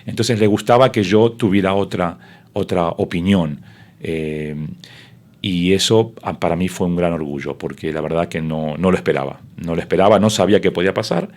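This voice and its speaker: A man's voice: sits low, moderate pace, a little mellow. A middle-aged man, a sports broadcaster whose first language is Spanish, telling his story in an interview with many fillers and restarts.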